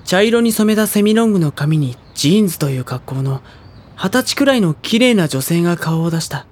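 高校生の少年役として、沈んだ調子で物語を語る男性の声優。. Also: male voice, high-pitched, expressive, fairly quick, darkish tone, slight background noise. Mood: subdued, grave. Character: subdued high school boy narrating his story. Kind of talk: scripted first-person drama narration. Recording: music under the voice.

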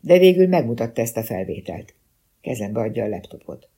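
Husky, mellow-voiced male audiobook narrator. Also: mid-range voice, big pitch swings. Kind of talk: scripted first-person detective novel narration.

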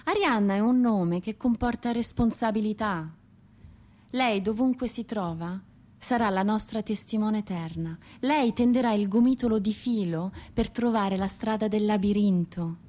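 An actress playing a tormented, romantic and proud woman poet and grieving mother, delivering a dramatic, intense radio monologue with deliberate pauses. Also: mid-range voice, darkish tone, natural voice. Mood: mournful, proud. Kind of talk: theatrical radio drama monologue with poetry recitation.

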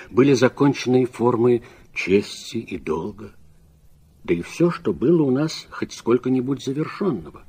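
Reflective literary intellectual for radio drama; husky, very unhurried, troubled, rhetorical phrasing. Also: male voice, lowish voice, heavily acted. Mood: grave musing. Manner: deliberate, rhetorical, emphatic swells and hushed drops. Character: reflective, troubled man of letters reading his critical reply.

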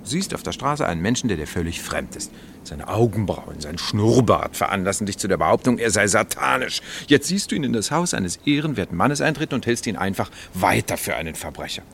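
A sensible gentleman companion in a radio drama. His deep, very creaky voice reasons and chides in exasperation, with faint noise behind. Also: big pitch swings, brisk, heavily acted. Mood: chiding. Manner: chiding, reasoning. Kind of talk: German radio play acting.

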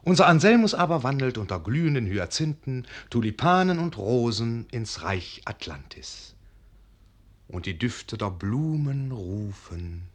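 A man's voice: mid-range voice, very animated, measured pace. A man narrating a radio play in a lyrical, rapturous way. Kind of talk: scripted narration in radio drama.

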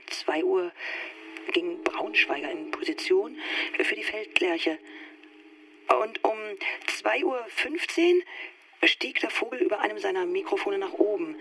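A man tells a radio play story in a measured, factual way. His voice is quite high and bright, with some creak and faint noise behind it. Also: full character voice, rough recording. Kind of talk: scripted radio play narration.

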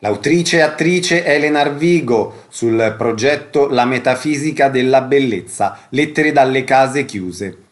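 A man hosting a radio interview, announcing guests, places and dates. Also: mid-range voice.

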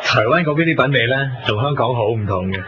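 A man acting a possessive, smooth-talking young businessman who politely needles others in a Cantonese radio drama, with a Hong Kong accent. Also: mid-range voice, expressive, quick pace, a crowd in the background, close to an everyday voice. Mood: condescending. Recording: muffled radio recording, noisy crowded venue ambience.

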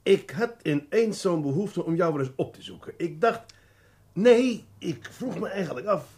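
An actor playing a shrewd, teasing police detective with a Dutch accent, putting needling questions with feigned innocence in a crime drama. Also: male voice, high voice, expressive, normal speed, darkish tone, slightly rough. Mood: needling, mock-friendly. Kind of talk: television crime drama acting.

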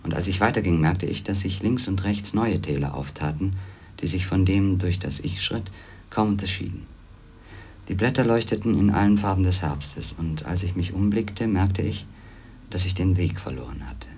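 An actor playing a brooding former editor who recounts his wanderings in measured, introspective literary narration for a radio play. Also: sits low, darkish tone, husky. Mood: pensive.

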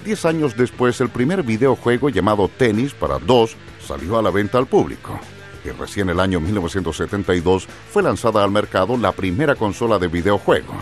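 Deep, animated male radio narrator. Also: fairly quick, soft background music. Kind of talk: scripted educational radio narration.

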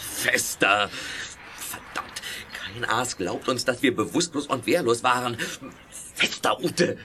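A man's voice: medium pitch, takes it slowly, background noise, close to an everyday voice. A man acting as a bossy crook in an audio drama, giving urgent orders. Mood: impatient, strained.

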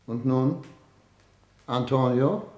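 An actor playing an imperious but easily shaken head of state in a German radio play, curt and commanding. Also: male voice, mid-range voice, slow pace, a little mellow, lightly acted. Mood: stern, suspicious. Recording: roomy, echoing studio sound.

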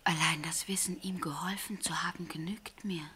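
An actress in a radio drama playing a genteel, lovesick young lady, earnest and formal, with a hushed, breathy voice. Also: on the low side, steady tone, normal speed, slight background noise. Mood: shyly confessing. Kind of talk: German radio drama acting.

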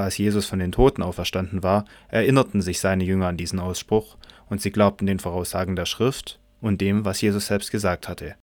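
A man narrating a scripture audio drama in a measured, solemn manner from a script. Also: low voice, dry recording.

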